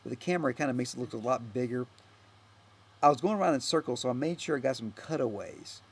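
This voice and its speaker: Creaky-voiced man giving loose film commentary. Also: medium pitch, fast talker. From United States. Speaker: filmmaker and actor giving director's commentary. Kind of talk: casual, unscripted director's commentary.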